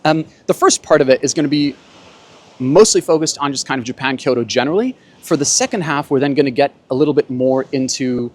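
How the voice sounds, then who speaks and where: A man's voice: medium pitch, fairly quick. Conversational man hosting a casual panel. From Germany and Bolivia. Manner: conversational, explanatory. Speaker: host, panel moderator.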